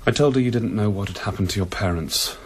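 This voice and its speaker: An actor playing an earnest, defensive grown son in a radio drama, hesitant and tactful. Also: male voice, lowish voice, quick pace, a little hiss or hum, natural voice. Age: young adult. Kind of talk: broadcast radio drama acting.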